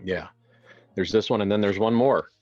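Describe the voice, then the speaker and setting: A man with a low voice talks as a game master, casual and wry, improvising as he goes. The sound is slightly dull and lo-fi, with music playing behind him. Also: moderate pace, darkish tone. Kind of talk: casual improvised introduction and horror game narration.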